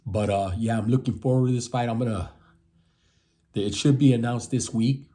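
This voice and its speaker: A man's voice: mid-range voice, steady tone, normal speed, mellow. A man in his fifties giving rambling casual commentary, repeating himself and ending lines with "man". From United States. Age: 50s. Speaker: boxing channel host.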